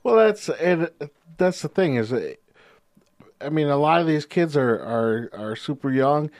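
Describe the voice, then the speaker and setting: A man's voice: a little high, animated, slow pace, a little mellow, no echo, studio quality. A man co-hosting a casual sports podcast, agreeable and easygoing, with many "you know"s and restarts. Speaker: podcast co-host.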